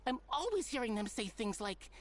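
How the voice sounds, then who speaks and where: A woman's voice: on the high side, very animated, moderate pace, a little hiss or hum. An actress playing a frightened captive in a video game, hesitant but earnest as she explains. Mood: resigned. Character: frightened female captive confessing a secret plot.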